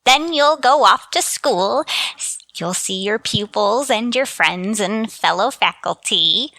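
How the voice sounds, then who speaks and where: A woman plays a chirpy, absent-minded landlady in a radio comedy. Her voice is bright and lilting, leaping around in pitch in a motherly, dithery way. The recording is close and dry. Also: expressive, takes it slowly, no echo, heavily acted, low-quality recording. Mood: fussing, coaxing. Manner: sing-song cheer, dithery and absent-minded. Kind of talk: scripted radio sitcom acting.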